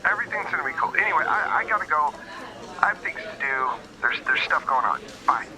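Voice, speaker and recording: Man acting as flustered male spy handler, evasive, halting, self-interrupting, tabletop game, loud crowd murmur. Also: fairly high pitch, expressive, pitched above the performer's own voice, close to an everyday voice, low-quality recording. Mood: nervous, evasive. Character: flustered, evasive male agent handler, hurriedly reassuring on the phone.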